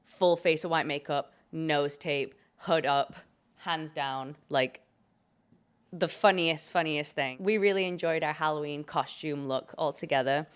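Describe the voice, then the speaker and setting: A young British woman hosting her own podcast in a relaxed, chatty, jokey way, her voice hoarse from a cold. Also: deep voice, measured pace. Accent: British English. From Britain. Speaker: podcast host. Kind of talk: casual chat.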